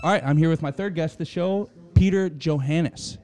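A man in his thirties from Michigan, hosting a casual podcast interview in a relaxed, easygoing way. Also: on the high side, moderate pace, soft background music. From Michigan, USA. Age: 30s. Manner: easygoing, laughs often, says "man" a lot. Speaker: podcast host.

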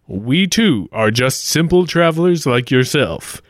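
A man's voice: on the high side, animated, measured pace, dry recording, clean studio sound. A man playing an earnest, cautious time-travelling investigator with folksy politeness in a 1940s-style radio play. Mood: placating.

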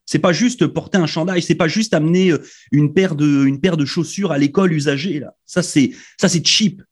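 A man's voice: fairly high pitch, moderate pace, breathy edge. A man from Europe hosting a radio panel discussion, emphatic and hesitant with many fillers. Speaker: host. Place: radio studio.

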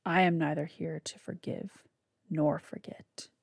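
A woman's voice: sits low, fairly even intonation, measured pace, vocal fry, no room sound, studio quality, exaggerated voice. A woman whispering as a cold ghostly father. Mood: grave. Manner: hushed, grave warnings. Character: stern ghostly old father, cold and warning.

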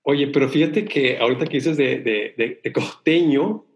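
A man's voice: medium pitch, moderate pace. Hesitant, rambling man from Sonora. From Sonora, Mexico. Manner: rambling, hesitant, many restarts and fillers. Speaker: podcast co-host. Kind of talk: casual chat.

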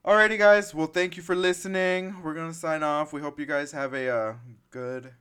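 A woman's voice: deep voice, fairly even intonation, moderate pace, fairly bright tone, clear, resonant tone. A woman in her late twenties hosting a casual podcast, chatting loosely with lots of fillers. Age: late 20s. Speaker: podcast host. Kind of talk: casual chat.

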